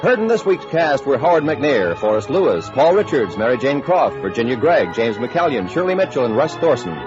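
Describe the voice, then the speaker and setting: A man's voice: mid-range voice, big pitch swings, normal speed. An American man working as a radio announcer, reading scripted credits in a 1950s broadcast style. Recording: muffled old radio recording, prominent music behind. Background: music.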